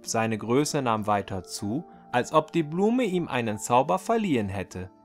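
A man reading a fairy tale aloud as a storyteller, in an expressive, deliberate scripted storybook delivery. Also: mid-range voice, soft background music.

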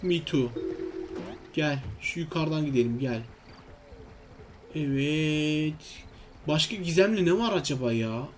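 Male gaming host, high-pitched voice, casual and exclamatory play-by-play, faint noise behind him. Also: expressive, takes it slowly, long pauses. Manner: exclamatory, talks to himself and to viewers. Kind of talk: casual video game commentary.

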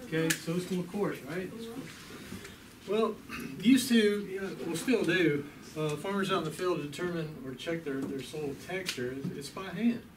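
A middle-aged man, an extension agent, teaching a hands-on class in a relaxed, folksy way and asking the group questions. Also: fairly high pitch, normal speed, background noise. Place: small classroom with hard walls. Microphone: far camera. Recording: echoey room from a distant camera.

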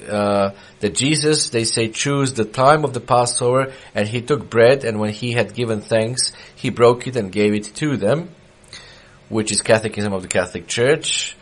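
A middle-aged man whose first language is Serbian, giving a measured sermon with frequent pauses and fillers, quoting scripture in a small church. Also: on the low side. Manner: measured, hesitant with many fillers. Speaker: preacher. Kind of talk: sermon reading and explaining quotes. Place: small church with wooden wall.

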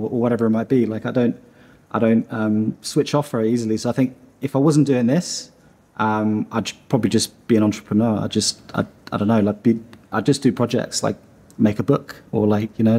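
Man, thirties, from Iceland, warm mellow tone, a little husky, rambling with fillers, seated interview in a dim studio. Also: mid-range voice. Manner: rambling, heavy on fillers and restarts. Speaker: animation studio founder.

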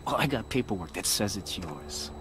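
A man's voice: deep voice, animated, normal speed, slight background noise. An actor playing a bullying crooked policeman in a video game, smug and taunting. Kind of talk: video game cutscene acting. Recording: loud music and sirens behind.

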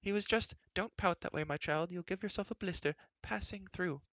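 A man plays a stout, bustling queen who scolds and fusses without pause. Her voice climbs high above his own, with a gravelly edge, recorded close and dry. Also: a bit bright, no room sound. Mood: fussy scolding. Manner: scolding, brisk and bossy. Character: fussy bossy chattering stout queen, middle-aged woman.

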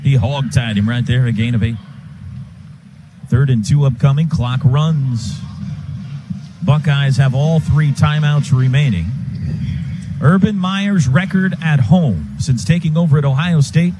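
An American man calling live football on the radio as play-by-play announcer, in clipped phrases that build toward excited calls. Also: mid-range voice, measured pace. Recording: stadium booth with loud crowd noise.

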